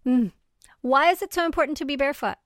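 A woman's voice: sits high, lively intonation, fast, no room sound, clean studio sound. A woman from Venezuela hosting a podcast interview, bubbly and chatty, sometimes tripping over her words. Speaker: podcast host. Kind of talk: casual interview.

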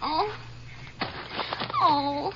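High-pitched, earnest little ranch girl. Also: very slow, full character voice. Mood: upset. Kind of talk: 1950s western radio drama acting. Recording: muffled, very noisy old radio recording.

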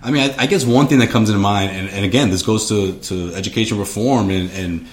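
A man's voice: lowish voice, fast. A young man, a podcast co-host, talking earnestly about his school years in casual conversation, often repeating words as he starts a thought. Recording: roomy echo.

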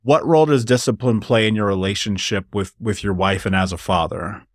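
A man's voice: on the low side, fairly quick, no room sound, studio quality. A man hosting a podcast interview, earnest and motivational, speaking directly to his listeners. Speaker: podcast host. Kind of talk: conversational interview.

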